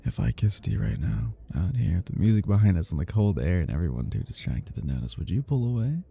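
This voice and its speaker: Guy playing ur cocky flirty best friend, real low mellow voice, murmury n whispery, romantic asmr thing. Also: very animated, fast talker, exaggerated voice. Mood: earnest, yearning. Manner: breathy murmur dropping into whispers, teasing. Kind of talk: intimate romantic ASMR roleplay.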